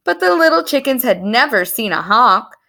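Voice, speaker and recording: A woman reading a story aloud to young children in an animated, expressive storytelling manner. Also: on the high side, normal speed, gravelly. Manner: animated, explains words to young listeners.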